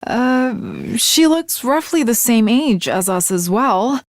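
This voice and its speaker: A woman's voice: fairly high pitch, expressive, slow pace, no room sound, studio quality. A woman voicing an earnest, lovestruck teenage girl swordswoman in an anime video game, with formal, archaic, dramatic diction. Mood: puzzled, wary.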